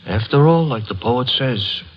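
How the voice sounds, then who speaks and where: A man's voice: medium pitch, expressive, a little slow, close to an everyday voice. An actor playing a nervous, wisecracking tough-guy sidekick who grumbles in street slang in a 1950s radio drama. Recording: muffled noisy 1950s radio broadcast.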